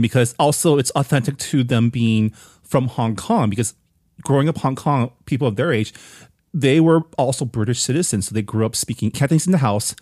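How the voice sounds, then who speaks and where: A man co-hosting a casual film review chat, thoughtful and relaxed as he explains. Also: mid-range voice, no echo, clean studio sound. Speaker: film podcast co-host.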